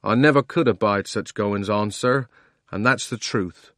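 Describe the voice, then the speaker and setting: A man voicing a shy, honest London cabman with a Cockney accent drifting toward a country burr, speaking in rough plain words. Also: fairly low pitch, a little slow, a bit bright, no room sound, studio quality. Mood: earnest. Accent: Cockney turning rural English. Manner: rough working-class speech, hesitant and modest.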